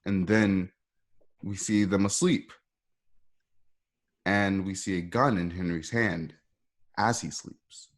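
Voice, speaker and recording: A man narrating stage directions informally, in halting, improvised phrasing. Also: low-pitched, steady tone.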